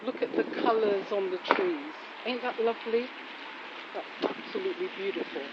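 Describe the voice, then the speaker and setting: A woman's voice: medium pitch, moderate pace. A woman in a casual walking vlog with devotional reflections, rambling and full of admiring exclamations. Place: outdoors on a residential street after rain. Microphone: handheld phone while walking. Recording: muffled, noisy outdoor street recording with traffic.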